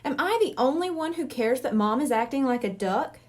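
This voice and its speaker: Woman reading as an exasperated young boy, high-pitched. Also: lively intonation, a little fast, darkish tone. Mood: worried, urgent. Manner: emphatic, incredulous. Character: young boy Bradley, worried, exasperated, indignant.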